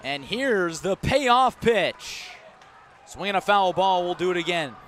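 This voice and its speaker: A man with a notably high voice and an American accent delivers live baseball radio commentary. He builds drama with short, clipped phrases. Faint music drifts in behind him from the ballpark. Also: expressive, slow, fairly bright tone. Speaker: baseball play-by-play announcer.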